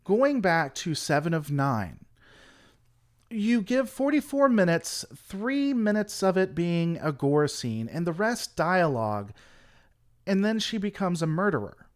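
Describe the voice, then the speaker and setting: A man's voice: high voice, big pitch swings, a little slow. A man hosting a casual livestream, rambling through an opinionated critique and answering chat. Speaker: livestream host.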